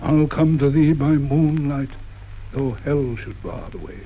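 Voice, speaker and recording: A man reading aloud the farewell vow of a doomed young highwayman in a poem, low, earnest and chanted like a refrain. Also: expressive, a little slow, close to an everyday voice. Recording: muffled old tape with faint hiss.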